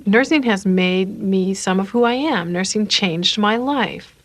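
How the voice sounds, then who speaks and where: A woman's voice: mid-range voice, a little slow, faint music behind. A woman, a nurse, talking reflectively about herself in an interview.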